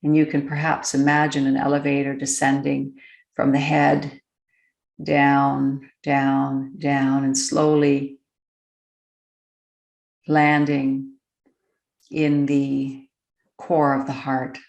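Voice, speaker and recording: Low, creaky-voiced woman guiding meditation. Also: completely flat delivery, echoey room, lo-fi sound. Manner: gentle, deliberate, with long pauses. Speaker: guided meditation coach. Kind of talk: slow, unscripted guided meditation.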